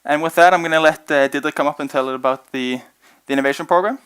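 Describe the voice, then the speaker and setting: A man's voice: a little high, fairly bright tone, clean tone. A young man presenting his organization on stage to an audience, earnest and full of "uh" fillers. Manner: fast, earnest, many "uh" fillers. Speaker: organization representative presenting at event.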